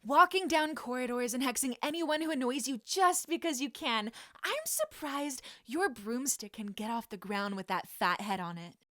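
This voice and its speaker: A woman's voice: high voice, expressive, normal speed, hardly any pauses, sharp tone, dry recording, studio quality, pitched above the performer's own voice. A woman voicing a proud, hurt teenage witch girl with a cutting, indignant manner and a slight tremor. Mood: furious.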